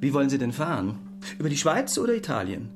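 Radio play part for a dutiful travel clerk, officious, very lively pitch, over guitar music. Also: male voice, quick pace. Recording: plucked guitar music behind.

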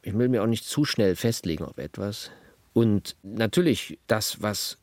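A man who directs radio drama, giving reflective interview statements in deliberate, articulate, formal wording. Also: fairly low pitch, lively intonation, a little mellow, no echo.

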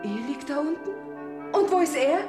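A woman's voice: high voice, expressive, moderate pace, echoey room, music in the background. An actress in her twenties playing Marei, an anxious, humble young Bavarian woman in a radio play. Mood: searching. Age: 20s. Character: Marei, a timid young Bavarian woman just arrived in heaven.

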